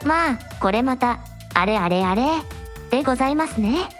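女性が演じる高い声の丁寧な若い王女. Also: female voice, on the high side, animated, moderate pace, soft background music, close to an everyday voice. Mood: curious. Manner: very polite, formal honorific speech. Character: polite, supportive young princess hosting a livestream. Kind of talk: radio drama dialogue.

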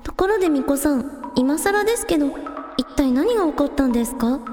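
A woman's voice: sits high, lively intonation, brisk, mellow. A woman playing a spirited, friendly village girl who takes charge, speaking directly in radio drama style. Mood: frank. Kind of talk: Japanese radio drama acting. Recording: echoey long reverb, music behind.

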